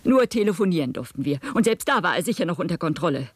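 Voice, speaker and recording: A woman's voice: lowish voice, expressive, fast talker, slightly rough, dry recording, studio quality. Careful, troubled older woman witness. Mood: troubled, guarded. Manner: careful, hesitant, precise recounting. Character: older professor's wife testifying as witness, earnest, troubled. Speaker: witness at a hearing. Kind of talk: 1970s German radio play acting.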